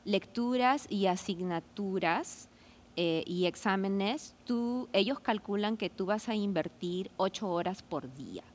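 A woman in her forties speaks in a reflective, unhurried way, leaning on fillers as she recounts returning to law school. Her voice sits in a middle register, and the talk is casual podcast conversation. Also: medium pitch, animated. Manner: reflective, many fillers like "este" and "¿no?". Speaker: guest, law student.

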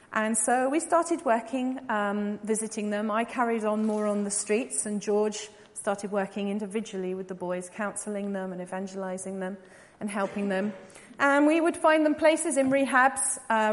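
An English woman in her forties gives an informal talk to a church audience as a missionary speaker, chatty with many ums and light laughing asides. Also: medium pitch. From England. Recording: long reverb of a large hall.

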